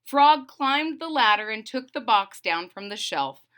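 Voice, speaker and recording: A woman with a high, bright voice reads a storybook to children. Her delivery is animated and expressive, and she explains things in simple words as she goes. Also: unhurried. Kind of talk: read-aloud narration with explanatory asides to children.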